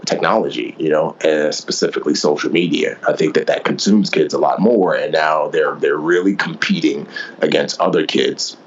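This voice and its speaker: A man's voice: extremely low pitch, normal speed, highs cut off, a little hiss or hum. A man who grew up in the Bronx, answering interview questions in a thoughtful way, often repeating words as he thinks. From New York City (Bronx). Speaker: podcast guest, former Navy SEAL and author.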